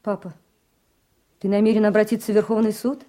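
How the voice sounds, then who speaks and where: An actress playing a judge's frank, concerned adult daughter in a radio play, questioning and direct. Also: female voice, mid-range voice, level tone, brisk, mellow, lightly acted.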